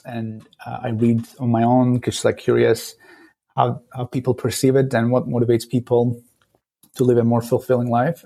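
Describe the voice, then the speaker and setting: A man in his late thirties from Turkey with a Turkish accent, a podcast guest talking thoughtfully and pausing often to search for words. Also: medium pitch, creaky, warm tone, breathy edge. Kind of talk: casual interview talk.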